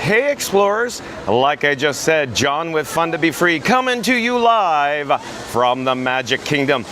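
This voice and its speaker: A man with a slightly high voice, hoarse and raspy today, hosting an upbeat live stream. He talks into a phone held in his hand, and clear outdoor noise carries behind him. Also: sing-song, unhurried. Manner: upbeat, chatty, reads chat aloud. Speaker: vlog host. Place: outdoors at a busy theme park.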